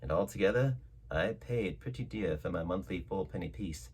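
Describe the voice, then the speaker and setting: A man reading a classic story aloud as a narrator, with theatrical, measured phrasing and dramatic delivery. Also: low voice, expressive, a little mellow, husky. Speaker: audiobook narrator.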